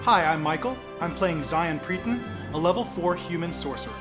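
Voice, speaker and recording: A man's voice: on the high side, expressive, normal speed. A man playing a tabletop fantasy game, talking casually at the table in an echoey room. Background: prominent music.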